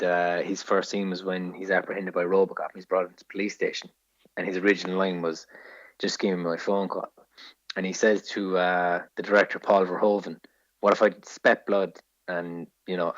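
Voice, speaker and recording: A man's voice: low-pitched, flat delivery, moderate pace, a bit bright, no room sound, highs cut off. A man co-hosting a podcast, casually telling film anecdotes with swearing and acted-out quotes. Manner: sweary storyteller, acts out quotes. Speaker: podcast co-host.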